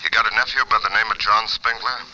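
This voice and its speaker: Deep, blunt policeman on telephone. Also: steady tone, quick pace, bright, forceful tone. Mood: blunt, official. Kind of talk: 1940s-50s American radio drama acting. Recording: muffled old radio recording, faint hiss.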